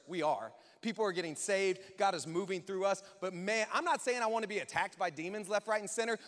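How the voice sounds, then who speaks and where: A man's voice: sits high, fairly bright tone. A man preaching a contemporary, conversational sermon as a pastor, speaking emphatically and informally with rhetorical questions and repetition. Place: large church hall, speaking to an audience. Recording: echoey hall with long reverb.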